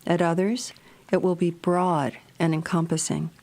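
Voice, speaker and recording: A woman narrating a guided meditation in a gentle, measured, soothing manner. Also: on the low side, little pitch movement.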